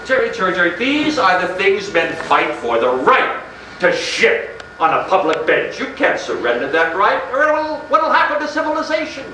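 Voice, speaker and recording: A man's voice: high-pitched, sing-song, moderate pace, sharp tone, far from the mic, full character voice. A man acting in a stage play as a menacing, unhinged middle-class man, theatrical and sarcastic, ranting with mock politeness. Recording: echoey, noisy stage recording from afar.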